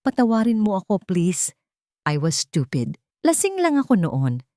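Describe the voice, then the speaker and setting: A woman's voice: medium pitch, huge pitch swings, a little slow, dark tone, no room sound, studio quality. A woman voicing a desperate, manipulative cheating husband in an audio drama, pleading and blustering.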